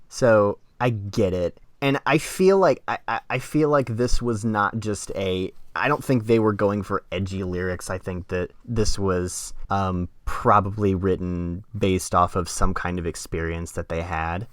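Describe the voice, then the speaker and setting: A man's voice: deep voice, measured pace, no echo. A man co-hosting a podcast, casually giving his critique and often rephrasing his points as he goes. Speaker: podcast co-host and music critic. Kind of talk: casual discussion.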